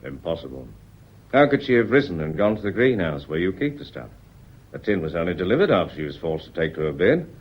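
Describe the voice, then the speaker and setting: An actor playing a shrewd police inspector in a radio drama, speaking deliberately and courteously while he probes. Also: deep voice, sing-song, breathy edge, heavily acted. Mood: firm, insistent. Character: shrewd, politely relentless male police inspector. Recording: dull, muffled old radio recording.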